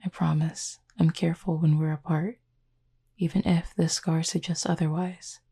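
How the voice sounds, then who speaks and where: A horned cupid roommate, acted by a woman, speaks softly and intimately in a low, husky voice with a mellow tone. The delivery is calm and measured, with polite, formal wording and a tender, teasing warmth made for roleplay audio. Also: heavily acted. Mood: gentle, reassuring. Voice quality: soft, breathy, husky.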